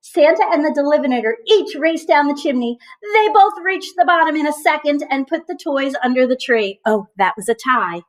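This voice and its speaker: High-voiced woman reading a storybook aloud. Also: animated, normal speed, few pauses, poor audio. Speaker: teacher reading aloud to children. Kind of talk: storybook read-aloud with asides to children.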